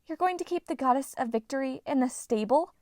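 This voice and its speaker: A woman's voice: sits high, fairly quick, darkish tone, no room sound, clean studio sound, voice pushed up, close to an everyday voice. A woman voicing a tired but determined teenage girl in a storybook reading, earnest and insistent. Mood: weary.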